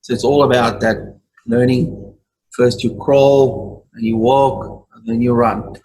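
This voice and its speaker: A man's voice: mid-range voice, flat delivery, slow, a little mellow, poor audio. A man teaching an online Bible study group over a video call, earnest and given to repeating himself. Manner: earnest, repetitive affirmations.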